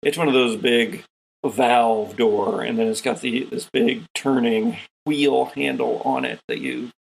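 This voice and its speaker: A man running a tabletop sci-fi game, narrating scenes in an improvised, deliberate and descriptive way as game master. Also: medium pitch, fairly even intonation, vocal fry, slightly rough, poor audio.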